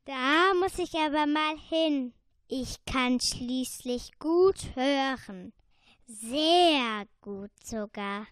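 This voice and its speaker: A woman's voice: high-pitched, very slow, fairly bright tone, clean tone, no room sound, studio quality, heavily acted. A young female performer playing a boastful, anxious little male earworm in a children's radio play, with dramatic exclamations. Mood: curious, boastful.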